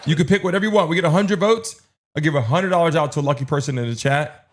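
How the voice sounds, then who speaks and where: A man with a fairly high voice runs a live stream. He talks straight to his chat in an urgent, insistent way, repeating his calls and counting down as he goes. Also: fast, slight background noise. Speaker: livestream host running chat polls.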